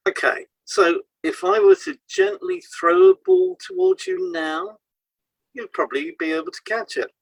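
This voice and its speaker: A man's voice: very high voice, wildly swooping pitch, moderate pace, gravelly, dry recording, rough recording. A man co-hosting an educational podcast, reading scripted explanations and stressing key points. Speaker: podcast co-host, hypnotherapist.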